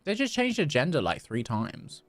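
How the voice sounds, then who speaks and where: A man's voice: a little high, wildly swooping pitch, moderate pace, no echo, soft background music. A man chatting casually as he livestreams a video game. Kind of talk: casual gaming livestream chat.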